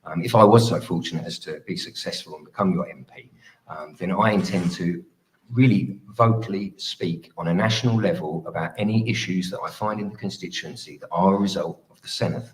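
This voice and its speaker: A man giving a policy speech as a parliamentary candidate at a hustings, measured and hesitant, often restarting his phrases. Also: deep voice, rough recording. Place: live public hustings event.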